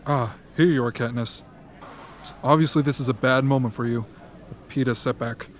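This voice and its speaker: A man playing a smooth, pragmatic, media-minded strategist in an amateur radio drama. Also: mid-range voice, fairly even intonation, normal speed, noisy background, lightly acted. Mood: advising.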